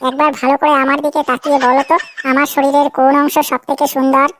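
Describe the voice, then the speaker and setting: A woman's voice: high voice, flat delivery, fast, a bit bright, no echo, clean studio sound. A woman voicing a coy, demanding young girlfriend in a Bengali comedy cartoon, whiny and pleading, often repeating herself.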